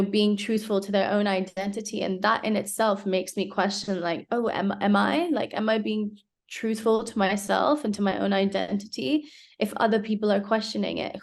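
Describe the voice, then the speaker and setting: A young woman from Hong Kong talks through her thoughts slowly and reflectively, often pausing on fillers and restarting her sentences. Her voice carries a gravelly vocal fry. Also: mid-range voice, fairly even intonation. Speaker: interviewee. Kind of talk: casual interview.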